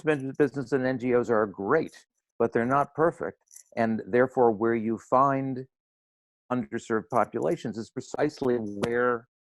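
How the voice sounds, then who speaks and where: An older man with a hoarse voice from laryngitis, giving an earnest, wry talk on a video call. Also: medium pitch, no echo, low-quality recording. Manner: earnest, slightly preachy, wry. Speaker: guest speaker. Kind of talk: roundtable talk.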